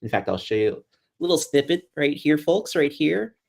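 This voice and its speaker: A man's voice: fairly high pitch, very animated, moderate pace, creaky, darkish tone. A man from Boston with an American accent, chatting casually and with self-deprecation as a guest sports journalist. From Boston, United States. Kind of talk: casual livestream chat.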